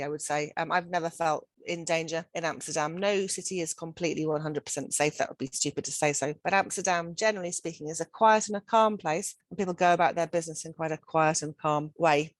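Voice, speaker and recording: A woman with a notably low voice presents a study program over a video call, upbeat and persuasive and often restarting her phrases. The sound is dry and close, with no room echo. She is middle-aged and speaks as a centre director. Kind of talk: promotional info-session presentation.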